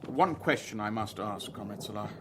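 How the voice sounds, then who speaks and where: Radio thriller lead: middle-aged businessman, lowish voice, careful and guarded, some background noise. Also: lively intonation, moderate pace, a bit bright. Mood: guarded, deferential. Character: guarded middle-aged businessman, narrating his own spy story. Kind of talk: radio drama dialogue and first-person narration.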